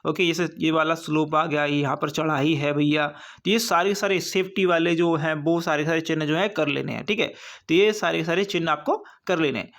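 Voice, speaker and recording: A man's voice: on the high side, fairly bright tone. A man with an Indian accent giving an informal exam-prep lecture as a coaching teacher, repeating points, asking and answering his own questions and often adding short check-in tags.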